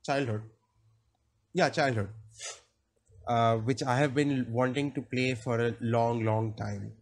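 A man's voice: mid-range voice, steady tone, slow. A young man with a sore throat, casually commenting on a game he is playing, with wry jokes, into a studio mic in a small room. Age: young adult. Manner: chatty, joking, wry asides. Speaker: gameplay streamer. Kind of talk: casual let's-play commentary. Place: small cluttered room indoors. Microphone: studio mic on arm, headphones. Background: game music.

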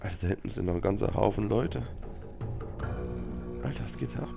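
A man's voice: fairly low pitch, big pitch swings, fairly quick, gravelly. A young man sick with a cold, giving casual, slangy gaming commentary with muttering and swearing into a headset mic. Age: young adult. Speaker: let's player hosting a gameplay series. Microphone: headset microphone. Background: game music and gunfire.

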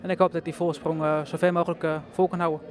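A young man speaks at a very rapid clip in a slightly high voice. He answers a reporter's questions confidently and plainly. He is recorded on a handheld mic inside a bowling centre. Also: little pitch movement, faint music behind. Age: 20s. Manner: confident, quick, plain-spoken. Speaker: bowling champion interviewed. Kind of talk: casual interview answers.

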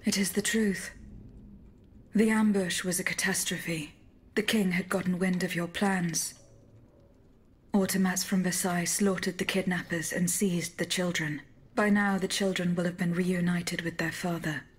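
A woman playing a composed, accusing agent in a video game, speaking in a measured, formal and blunt way. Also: lowish voice, fairly even intonation, slightly rough. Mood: measured, matter-of-fact. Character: composed, accusing female agent confronting a general.

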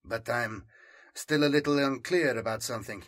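A man voicing an earnest, principled official in an audiobook, calm, guarded and quietly probing. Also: mid-range voice, moderate pace, close to an everyday voice.

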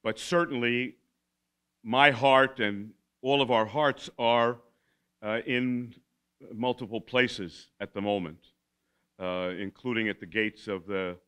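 An older man giving an informal keynote talk at a lectern in a school hall, halting, with many fillers and restarts and wry asides. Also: mid-range voice, a bit bright, clear, resonant tone. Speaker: keynote speaker. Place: school hall with a seated audience. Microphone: podium mic, standing at lectern.

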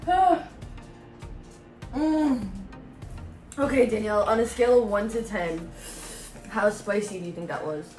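A woman's voice: medium pitch, unhurried, pauses often, darkish tone. A woman in her twenties chatting casually to camera as a vlog host, with slangy, giggly talk. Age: 20s. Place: home kitchen and dining room. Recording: echoey kitchen with music behind.

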